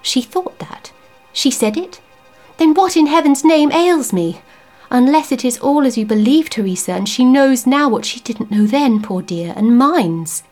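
A woman reading the part of a troubled, grieving widower in an audiobook ghost story, anxious and earnest, sometimes dropping to a hush. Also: on the high side, expressive, moderate pace, faint music behind. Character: troubled grieving widower, man, middle-aged, uneasy.

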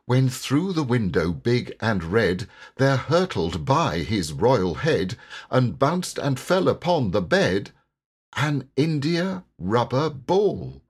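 A man narrating storybook verse expressively, with theatrical, measured and deliberate phrasing. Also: mid-range voice, sing-song, husky. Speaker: poetry reader and narrator.

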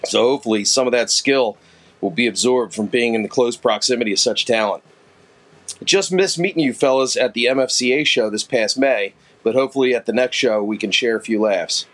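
A man's voice: mid-range voice, brisk, fairly bright tone. Folksy Philadelphia man leaving a voicemail. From Philadelphia area. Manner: folksy, self-deprecating. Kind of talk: recorded voice message.

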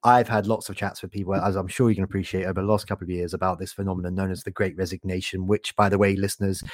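Brief: male podcast host, low voice, chatty and jokey, very dry recording. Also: a little mellow, no echo. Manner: chatty, jokey, stumbles on repeated words. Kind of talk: podcast interview hosting.

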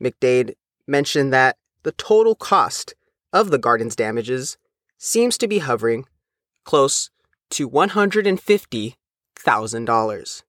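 A man reading a scripted news feature as the reporter, in a measured, careful way. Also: mid-range voice, sing-song, fairly bright tone, no room sound, studio quality. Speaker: reporter and narrator.

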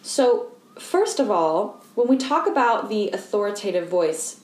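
A woman voice coach giving a calm, explanatory lesson and guiding the listener through simple humming exercises. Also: mid-range voice, echoey room. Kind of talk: instructional voice lesson.

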